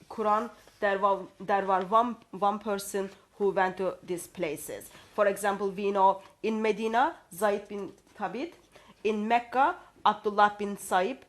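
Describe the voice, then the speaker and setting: Woman, hesitant speech. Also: mid-range voice. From Turkey. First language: Turkish. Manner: hesitant, with many fillers and restarts, reading out references. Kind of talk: conversational discussion.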